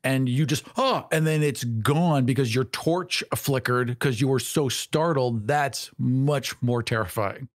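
A man's voice: mid-range voice, a little slow, few pauses, no room sound, clean studio sound. A man talking casually as a podcast co-host. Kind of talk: casual chat. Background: background music.

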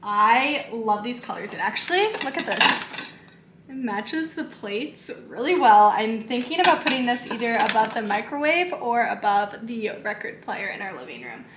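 A woman's voice: fairly high pitch, big pitch swings, normal speed, noisy background. A woman in her twenties chatting casually through a shopping haul in her home kitchen, bubbly and full of "like" fillers. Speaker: lifestyle vlogger. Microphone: camera in front, medium close framing. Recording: echoey kitchen.

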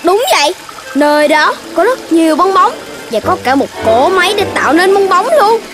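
A sensible little cartoon puppy with a tiny, very high voice. The Southern Vietnamese delivery is earnest, like a child explaining things to friends. Music plays clearly behind it. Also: female voice, expressive, normal speed. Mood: proud, cheerful.

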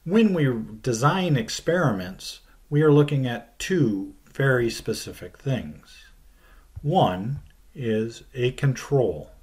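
A middle-aged man gives a science lesson from his desk, speaking at a slow, unhurried pace. His voice sits in an ordinary middle register. He lays out each idea carefully and lets his phrases fall away at the end, recorded on a webcam in a small plain room. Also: mid-range voice. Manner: slow, deliberate explaining with falling phrase ends. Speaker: teacher. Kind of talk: recorded video lecture.

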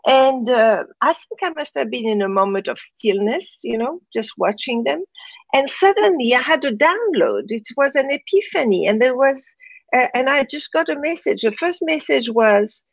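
A woman's voice: high voice, expressive, normal speed, no echo, highs cut off. A woman with a French accent, speaking reflectively as a guest in an interview and often checking in with "right?". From France, raised in Morocco. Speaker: guest, transformation coach.

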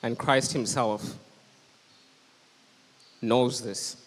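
A man's voice: mid-range voice, steady tone, very slow. A young man preaching a sermon on a church stage, earnest and urgent, into a handheld microphone. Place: church stage with band instruments, indoors. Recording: long hall reverb.

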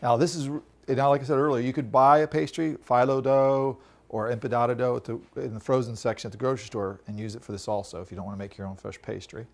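A man's voice: mid-range voice, steady tone, quick pace. A middle-aged man, a chef, patiently walking children through a recipe in a home kitchen. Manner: patient, instructive, coaching kids. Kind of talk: cooking demonstration.

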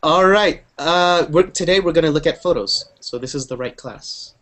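A man's voice: on the high side, normal speed, pushed and bright. Upbeat young male online teacher. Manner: upbeat, encouraging, repeats "cool". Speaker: English teacher. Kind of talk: online group class. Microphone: earphone mic on a video call.

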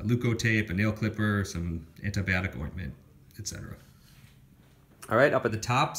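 A young man casually talking through his hiking gear, listing items with lots of ums and restarts. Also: lowish voice. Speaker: hiker showing his gear. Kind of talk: casual gear walkthrough voice-over. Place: indoors at home, filming gear on a floor.

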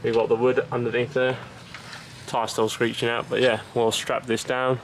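A young man talks through his sailing day in an easy, chatty running commentary at a medium pitch. He is recorded outdoors on an action camera. Wind, water and boat noise sit clearly behind his voice. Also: level tone, moderate pace, poor audio. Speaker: sailing vlogger. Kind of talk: casual vlog narration. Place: outdoors on a sailboat and boat ramp.

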